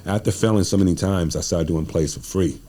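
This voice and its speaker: A man's voice: deep voice, a little fast, mellow, slight background noise. A man in his forties telling stories casually as an interview guest, in earnest, informal, street-inflected talk. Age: 40s. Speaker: playwright and producer, podcast guest.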